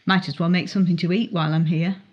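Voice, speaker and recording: A woman's voice: on the low side, fairly even intonation, fast talker, highs cut off, natural voice. A woman voicing a blunt, wry older teenage boy in a story, casual, teasing and frank.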